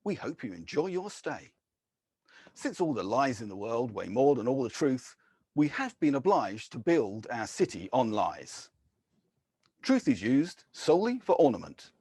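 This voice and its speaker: Husky-voiced man reading poetry aloud. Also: mid-range voice, sing-song, a little mellow, no echo, clean studio sound. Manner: measured, deliberate reading with brief casual asides. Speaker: poet. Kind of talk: poetry reading with spoken introductions.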